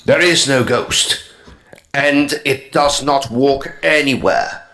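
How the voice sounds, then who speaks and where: Man acting an evasive Edwardian homeowner, unhurried delivery, medium pitch, guarded, formal, radio play. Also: bright, forceful tone. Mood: evasive, uneasy. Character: evasive, nervous Edwardian house owner, stubbornly dismissive. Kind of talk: audio drama dialogue.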